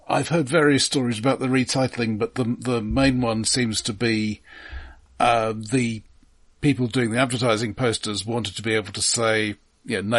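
A man's voice: medium pitch, a bit bright. A man co-hosting a casual film discussion, explaining details in an informed way with hesitant phrasing. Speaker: film podcast co-host.

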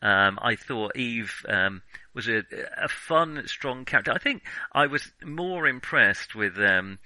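A man's voice: mid-range voice, animated, slow, pushed and bright, no room sound, low-quality recording. A man co-hosting a film podcast, chatting casually and often tentatively searching for words. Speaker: film discussion podcast co-host.

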